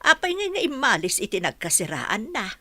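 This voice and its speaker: A woman's voice: fairly high pitch, very animated, a little fast, sharp tone, no echo, exaggerated voice. A woman acting a concerned, regretful middle-aged mother in an Ilocano radio drama. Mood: surprised, regretful.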